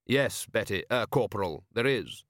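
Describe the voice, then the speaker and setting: Aristocratic ruler for comic fantasy audiobook voiced by a man: composed, measured, dry wit, very dry recording. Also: fairly low pitch, no echo. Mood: composed, courteous. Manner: measured, formal, deadpan. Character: calm, dryly witty, aristocratic male city ruler.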